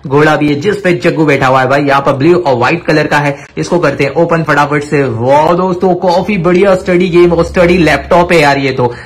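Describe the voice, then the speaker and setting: A man hosting a toy showcase, talking to viewers with excitable, repetitive exclamations. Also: fairly high pitch, fairly quick, few pauses. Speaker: toy review video host. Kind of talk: enthusiastic toy showcase commentary addressed to viewers. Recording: clear background noise with clicks.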